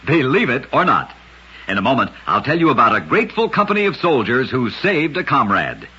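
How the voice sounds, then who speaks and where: A raspy male radio narrator with a very animated, swooping delivery and a bright edge. He tells short scripted tales with dramatic emphasis, like a host on a vintage broadcast. The sound is dull and lo-fi. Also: medium pitch, a little fast.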